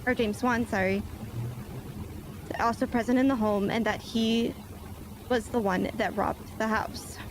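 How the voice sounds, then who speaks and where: A woman plays a police officer giving testimony in a roleplay courtroom, using stiff, formal police-report wording. Her voice sits fairly high. Clear noise runs under a game voice-chat recording. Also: steady tone, unhurried, long pauses. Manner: formal police-report phrasing, leans on "basically" and "myself".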